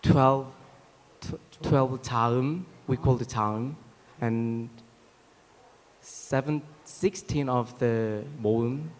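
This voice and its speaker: A man's voice: mid-range voice, measured pace. A man with a Korean accent speaking spontaneously as a guest on a live panel. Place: hall on a stage, live event. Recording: echoey hall sound.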